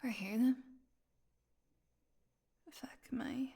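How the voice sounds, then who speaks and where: A woman playing a starving, captive vampire in an audio roleplay, sardonic and proud but desperate, with halting, breathy speech that often falls to a whisper. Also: a little high, fairly even intonation, mellow, heavily acted. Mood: weak, delirious, sardonic. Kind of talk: intimate dramatic audio roleplay.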